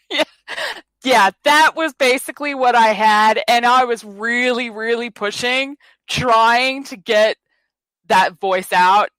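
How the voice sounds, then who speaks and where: A bubbly woman hosts a relaxed science chat, her voice sitting a little high and her pace unhurried. She is recorded close and dry, with no room sound. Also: no echo, poor audio. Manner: bubbly, exclamatory, laughs often. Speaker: host. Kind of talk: casual radio science chat.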